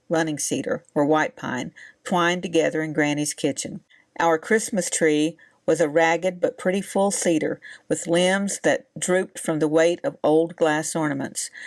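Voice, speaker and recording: A woman's voice: sits low, no room sound. A woman from the Blue Ridge Mountains reading a scripted, nostalgic narration in a storytelling style.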